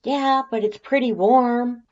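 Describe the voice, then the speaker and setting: A reader voicing a brash, excitable young monster woman, loud and emphatic, in acted fan fiction dialogue. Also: medium pitch, slow, dull audio, pitched above the performer's own voice, close to an everyday voice. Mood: insistent.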